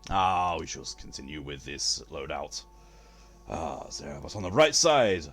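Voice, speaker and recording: A man's voice: rumbling low, big pitch swings, unhurried, fairly bright tone, faint music behind. A man chatting on a live game stream, with formal wording and a theatrical way of talking.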